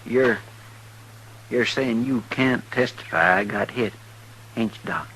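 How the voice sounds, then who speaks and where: An actor playing an anxious, simple frontier deputy in a radio western, with a rural Western drawl and a halting, pleading manner. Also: male voice, medium pitch, close to an everyday voice. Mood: worried, protesting. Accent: rural Western American drawl. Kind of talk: 1950s radio western acting. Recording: old radio recording, faint hum and hiss.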